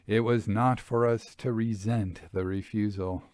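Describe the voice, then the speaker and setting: A man voicing a wistful, genial Victorian gentleman traveler in a formal, droll, expressive storybook reading. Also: on the low side, a little slow, no echo, clean studio sound, natural voice. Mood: resigned. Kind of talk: dramatic first-person storybook reading.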